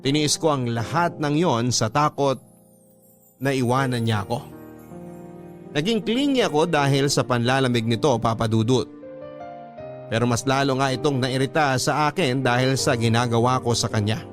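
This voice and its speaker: A man's voice: mid-range voice, normal speed. A man with a Filipino accent reading a first-person love story as narrator of a radio drama. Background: background music.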